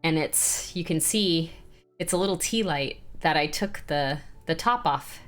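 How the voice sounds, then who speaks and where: A woman's voice: low-pitched, unhurried, fairly bright tone, soft background music. A woman streamer with a congested voice, casually chatting and greeting her viewers by name. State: congested, clearing throat. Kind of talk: casual livestream chat.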